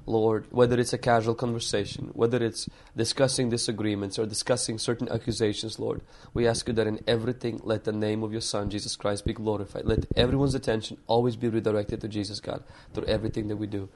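A man's voice: on the low side, flat delivery, fast, hardly any pauses, a little hiss or hum. A man from Ukraine, a pastor, who opens with a prayer and then tells his life story in an earnest, reflective way, often restarting his sentences. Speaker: pastor and podcast guest. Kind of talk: opening prayer, then storytelling interview answers.

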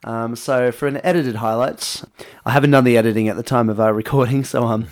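A man's voice: mid-range voice, steady tone, a little fast. A man hosting a podcast, talking in a casual, conversational way as he introduces it. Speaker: podcast host.

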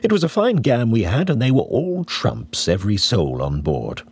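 A man reading literary narration as an audiobook, in a deliberate, theatrical storytelling manner with varied emphasis. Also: medium pitch, wildly swooping pitch, husky. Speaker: audiobook reader.

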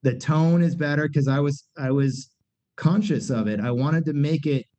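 A man's voice: on the high side, normal speed, warm tone. A middle-aged man, a punk band singer talking as a podcast guest, rambling candidly with many fillers and restarts. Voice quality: nasal voice. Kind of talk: casual podcast conversation. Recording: dull lo-fi podcast recording.

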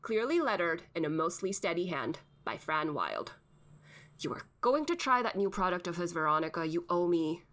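Wry female host, acted by a woman: dry, put-upon, comic, lowish register with lively inflection. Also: moderate pace. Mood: wry, exasperated. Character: wry, long-suffering female podcast host. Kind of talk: comic audio sketch acting.

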